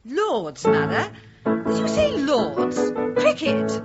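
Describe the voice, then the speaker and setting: An actress playing a snobbish, disapproving, exasperated grown-up daughter with an upper-class English accent in a British radio play. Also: female voice, high-pitched, wildly swooping pitch, takes it slowly, exaggerated voice. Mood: incredulous. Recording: dull radio recording under loud music.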